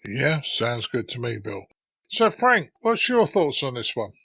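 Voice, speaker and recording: A man's voice: mid-range voice, very animated, measured pace, creaky, a bit bright, husky. A man moderating a casual podcast discussion as host.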